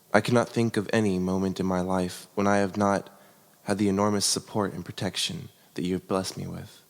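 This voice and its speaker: Young adult male, low register, reading aloud with measured sincerity, voice washed in long reverb. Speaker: reader of a family letter. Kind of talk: expressive reading aloud of a letter.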